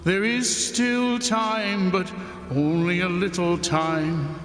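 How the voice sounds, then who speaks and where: An actor playing the solemn ghost of a murdered man, speaking gravely and ominously in a stage radio play. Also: sits high, fairly even intonation, slow pace, fairly bright tone, roomy sound, big-room sound, soft background music.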